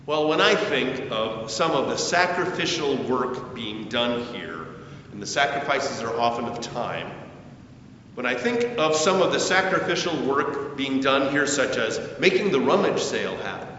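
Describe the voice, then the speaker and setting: Echoey, animated male preacher. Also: a little slow. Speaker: pastor. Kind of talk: sermon. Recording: echoey hall, distant mic, muffled, faint rumble.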